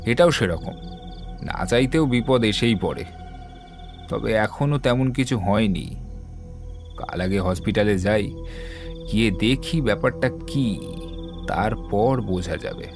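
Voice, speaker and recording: An actor playing a composed, methodical detective, explaining things in a measured, assured way in an audio drama. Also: male voice, fairly low pitch, expressive. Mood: measured, serious. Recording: background music under the voice.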